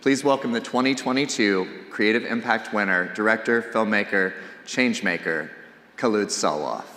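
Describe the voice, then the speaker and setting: A man's voice: fairly low pitch, moderate pace, sharp tone. A man working as the event emcee, introducing the next speaker in an enthusiastic, effusive way. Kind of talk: stage introduction. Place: museum auditorium. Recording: echoey hall with crowd. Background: audience in the hall.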